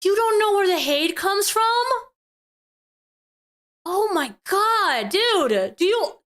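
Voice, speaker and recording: A woman's voice: extremely high pitch, animated, slow, a bit bright. A woman giving casual live reaction commentary as a streamer, emphatic and exasperated in her opinions.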